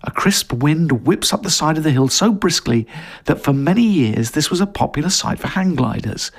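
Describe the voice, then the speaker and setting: A man's voice: a little high, expressive, normal speed. A man reading a scripted audio story as narrator.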